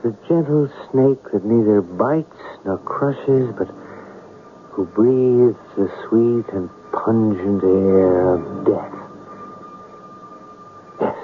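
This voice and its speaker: An actor playing a proud, dreamy writer in a mystery radio drama, theatrical and deliberate, lingering over his phrases. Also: fairly low pitch. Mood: dramatic, hushed. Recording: narrow tinny radio broadcast, faint hiss.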